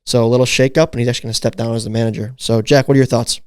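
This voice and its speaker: A man's voice: mid-range voice, fairly even intonation, racing, a little mellow, clean tone, dry recording, studio quality. A man hosting a casual sports podcast, leading the talk and asking questions. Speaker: podcast host.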